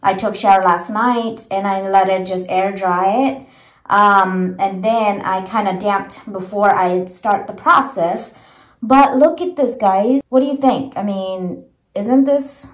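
A woman in her thirties chatting casually through a home hair tutorial, with many fillers and a gentle, way of talking, filmed on a propped phone in a small bedroom. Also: mid-range voice, a little slow. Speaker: beauty vlogger. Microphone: phone camera propped low. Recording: echoey small room.

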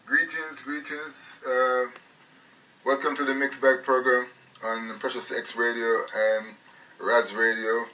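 A man's voice: medium pitch, flat delivery, slow pace, sharp tone, recorded from a distance, poor audio. A man from England, hosting a radio interview in a rambling, casual way with many restarts. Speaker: radio show host.

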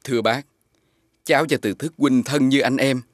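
An actor playing an earnest, devoted, respectful young man in an animated fairy tale. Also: medium pitch, moderate pace, no room sound, close to an everyday voice. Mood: pleading.

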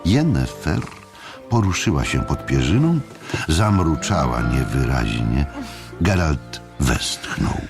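Deep, gravelly, swooping male storyteller. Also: big pitch swings, music in the background. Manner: deliberate, measured storytelling with dramatic pauses. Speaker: audiobook narrator. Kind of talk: scripted dramatic literary narration.

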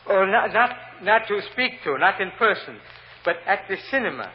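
A man playing a humble, earnest immigrant grocery clerk with a Spanish accent, testifying in halting, deferential broken English in a vintage radio drama. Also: high-pitched, big pitch swings. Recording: muffled old radio recording, hiss, long reverb.

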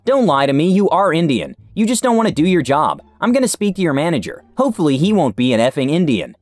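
A man voicing a rude, demanding woman customer, with a raised pitch and accusing lines. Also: big pitch swings, quick pace, dry recording, soft background music.

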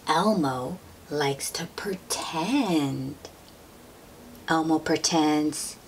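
A woman with a fairly low voice reads a picture book aloud at a very slow pace. She is gentle and expressive, stretching words and putting questions to a young listener. There is noticeable noise behind her. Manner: gentle, drawn-out, expressive, addressing a child. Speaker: children's book read-aloud host.

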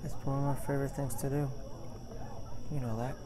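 A young man playing a caring boyfriend in an ASMR roleplay, soft-spoken, hesitant and reassuring. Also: medium pitch, flat delivery, brisk, noisy background, close to an everyday voice. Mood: concerned, tender. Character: caring, gentle young boyfriend comforting his partner. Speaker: roleplay voice performer. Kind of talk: ASMR boyfriend roleplay, intimate casual talk. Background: party and night ambience.

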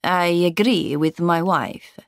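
A woman voicing a calm, cold nobleman in an audiobook, quiet, formal and smoothly courteous. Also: fairly low pitch, slow pace, no echo, studio quality. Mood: quiet agreement.

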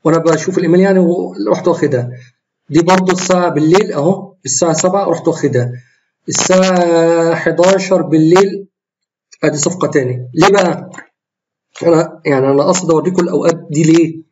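Hoarse Egyptian man, fast rambling trading talk. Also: fairly high pitch, fairly even intonation, a little mellow, dull audio, slight background noise. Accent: Egyptian Arabic. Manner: rambling, self-correcting, explains while trading live. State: tired, slightly hoarse voice. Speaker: trading tutorial host. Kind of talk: screen-recorded trading walkthrough.

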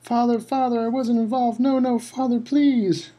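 A man's voice: very high voice, level tone, moderate pace, warm tone, voice pushed up. A man voicing a terrified teenage boy who shrieks and pleads desperately. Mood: desperate pleading.

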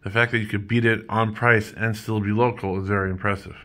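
A man's voice: on the low side, flat delivery, brisk, fairly bright tone. A man interviewing someone casually indoors, informal and thinking aloud as he goes, recorded on a phone. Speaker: interviewer, beverage seller. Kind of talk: casual chat, interview questions. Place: indoor room at a table.

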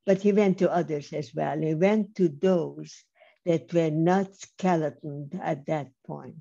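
An older woman giving a measured, emphatic personal testimony over a video call, speaking slowly with pauses. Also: low-pitched, creaky, mellow. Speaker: Holocaust survivor speaker. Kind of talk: slow personal testimony talk. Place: home room on video call. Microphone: webcam mic on video call. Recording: slightly dull lo-fi video call audio.

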